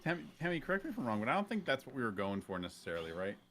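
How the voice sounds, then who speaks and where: A man's voice: medium pitch, very animated, rapid-fire, a bit bright. A man chatting casually as a guest on a gaming podcast, with faint noise behind him. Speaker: podcast guest, roleplay storyteller. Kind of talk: casual chat.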